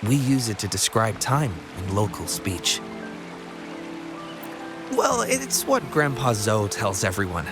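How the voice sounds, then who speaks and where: A man's voice: fairly low pitch, very animated, slow pace, pauses often. Chatty townsman, music behind him. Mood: candid, chatty. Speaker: game character, local townsman. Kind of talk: video game voice acting, conversational storytelling.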